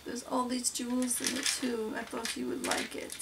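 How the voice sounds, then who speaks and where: A woman's voice: fairly high pitch, level tone, normal speed, gravelly. A woman voicing a sweet, shy, eager monster doll girl in an ASMR role play, using a put-on foreign accent and simple broken English. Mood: grateful, eager. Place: indoor room, seated on floor. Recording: noisy distant mic with crinkling.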